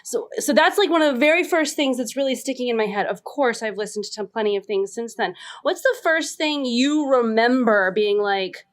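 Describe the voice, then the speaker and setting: A woman's voice: a little high, animated, moderate pace, few pauses. A woman chatting casually as a podcast co-host. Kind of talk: casual chat.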